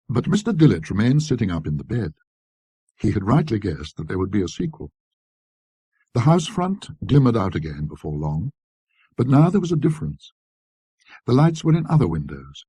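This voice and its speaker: A man reads a literary ghost story in a low, mellow voice with a husky grain. His delivery is scholarly and measured, with careful dramatic pauses. The sound is close and very dry. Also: dry recording. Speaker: narrator. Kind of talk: scripted ghost story narration, classic British literary reading.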